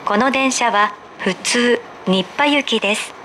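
A high female voice reads scripted transit announcements in a polite, formal, measured way. It comes over the speakers of a train car, with clear rumbling noise around it. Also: pushed and bright. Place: played over speakers inside a subway car. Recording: noisy train interior, constant rumble.

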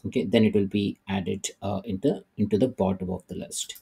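A middle-aged man giving a screen-recorded software tutorial, hesitant, with many "uh" and "okay" fillers. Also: on the low side, fairly even intonation, fairly quick, gravelly, husky. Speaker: tech tutorial presenter.

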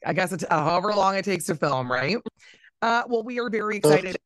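A woman radio host, talking in a loose, chatty way full of fillers and restarts. Her voice sits at an ordinary pitch and comes through a close, dry studio mic with no room sound. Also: lively intonation, brisk, no room sound. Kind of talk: casual interview with games.

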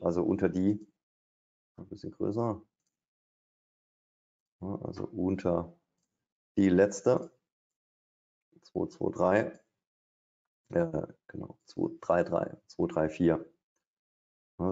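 A man's voice: low-pitched, gravelly, warm tone. A middle-aged man doing live trading commentary at his desk, thinking aloud with hesitations and fillers. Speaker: day trader. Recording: dull, lo-fi stream audio.

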